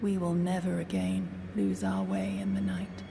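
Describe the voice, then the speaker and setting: An actress playing a reserved, devoted swordswoman in a fantasy game, formal and reverent, with a very creaky voice. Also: fairly low pitch, very animated, moderate pace, long reverb tail, noisy background, exaggerated voice. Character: aloof, devoted swordswoman serving a revered count. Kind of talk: scripted video game drama, formal speech.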